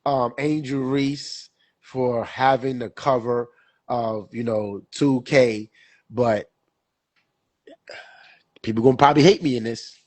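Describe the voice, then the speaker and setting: A man's voice: medium pitch, fairly even intonation, slow pace, pauses often, a little mellow, no echo. Stuffy-voiced man in casual sports talk. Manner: rambling, repeats himself, many fillers. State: stuffy from a cold. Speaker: podcast co-host.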